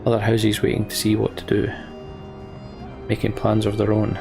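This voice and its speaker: A man's voice: fairly low pitch, monotone, moderate pace, vocal fry, music in the background. A man reading story text from a game aloud and adding casual commentary as he plays. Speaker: let's player.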